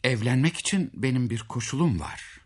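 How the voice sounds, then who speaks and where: A man's voice: medium pitch, big pitch swings, moderate pace, breathy edge. A man voicing a humble but proud young fisherman's son, speaking earnestly and firmly in a fairy tale.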